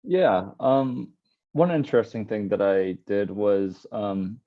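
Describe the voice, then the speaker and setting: A man's voice: on the low side, slow pace, phone-quality sound. A young man on a video call, a study abroad student answering casually with many fillers. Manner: relaxed, many fillers, laughs between phrases.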